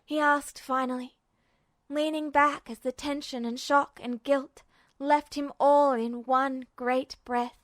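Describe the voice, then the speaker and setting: A woman reads fan fiction aloud as a podfic narrator, in a soft and even telling. Her voice is high, and the recording is dry and close with no room sound. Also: slow pace, dry recording. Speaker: podfic reader.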